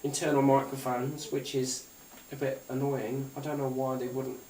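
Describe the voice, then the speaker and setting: A man's voice: medium pitch, little pitch movement, moderate pace, mellow. A man giving a casual, unscripted gadget demonstration in a rambling, hesitant way, often repeating his phrases. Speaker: gadget review YouTuber. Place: indoors at a tabletop, away from the mic. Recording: roomy, distant mic, faint hiss and clicks.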